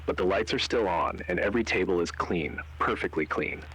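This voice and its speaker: Guy acting as a grave horror narrator, really low husky voice, ominous and deliberate. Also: a bit bright, full character voice, rough recording. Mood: eerie, suspenseful. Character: grave male narrator and interrogator of a horror tale. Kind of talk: slow scripted analog horror narration.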